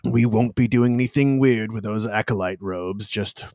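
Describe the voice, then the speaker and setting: A man's voice: on the low side, moderate pace, darkish tone, dry recording, studio quality, lightly acted. A man doing a smarmy, pompous old general. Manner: fussy, smarmy, repeats "yes" and "my dear". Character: pompous lecherous older male general.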